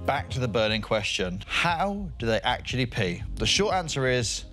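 Brief: male host in his thirties, scripted comic explainer, very animated pitch, music bed behind. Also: moderate pace. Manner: playful, punning asides. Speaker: show host. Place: studio.

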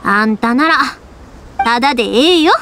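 An actress playing a cheerful, warm-hearted young fireworks maker in a video game, with a Kansai accent and a breezy, friendly manner. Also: female voice, fairly high pitch, lively intonation, moderate pace, noisy background. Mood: friendly, easygoing. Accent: Kansai Japanese. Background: background music.

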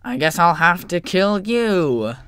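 A man's voice: sits high, sing-song, slow pace, bright, forceful tone, clear, resonant tone, no room sound, studio quality, heavily acted. A man playing a cocky, quarrelsome young sock puppet with a sarcastic, theatrical manner. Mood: menacing.

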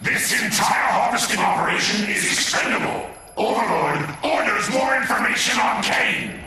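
A man's voice: high-pitched, expressive, moderate pace. A man playing a ruthless, haughty alien commander in a game, handing down formal military orders. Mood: stern, insistent. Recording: very echoey, distant, loud music underneath.

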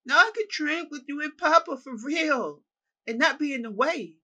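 A narrator voicing a grown man who talks like an excited child, pleading for his brother's approval. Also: high voice, normal speed, pitched above the performer's own voice. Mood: eager.

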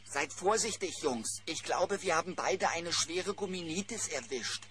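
A carefree, crafty cartoon bear, a smooth talker full of jaunty slang. The voice moves up and down in a lively way. It is a children's audio drama with clear noise behind. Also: male voice, on the high side, measured pace. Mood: mock grave. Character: breezy, wily, free-spirited adult male cartoon bear. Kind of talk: German children's cartoon audio play acting.

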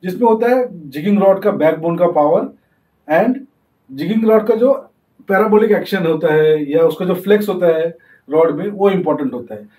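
A man's voice: sits high, moderate pace, mellow. A young man hosting a fishing channel, giving a casual tutorial and explaining as he demonstrates, often slipping English terms into his talk. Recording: echoey, distant from the mic.

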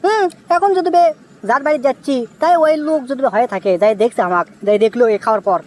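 A high, quick-talking young village bachelor played by a man in a comic cartoon, desperate for a wife. He whines and exclaims impatiently in a Bogura accent, his voice pitched up. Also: very animated. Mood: indignant complaining. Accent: Bogura, northern Bangladesh.

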